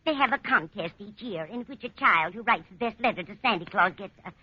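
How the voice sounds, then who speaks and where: A woman playing a kindly, absent-minded older landlady in a 1940s radio comedy, with a husky voice and chatty, motherly manner. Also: mid-range voice, expressive, a little fast, dry recording. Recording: dull 1940s radio recording.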